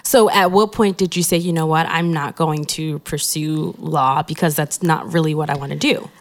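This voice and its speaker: A woman's voice: low voice, steady tone, moderate pace. A woman hosting a podcast, chatting casually with a guest and often putting in short words of agreement. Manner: interjects short affirmations often. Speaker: podcast host interviewing a guest. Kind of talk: casual conversational interview.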